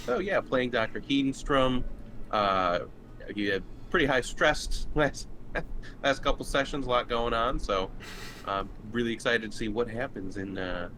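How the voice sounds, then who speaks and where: A man's voice: mid-range voice, lively intonation, measured pace, bright, forceful tone. A man who plays tabletop games, chatting casually in a hesitant, agreeable way. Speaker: tabletop game player. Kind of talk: casual chat, character introduction. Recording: noticeable background noise.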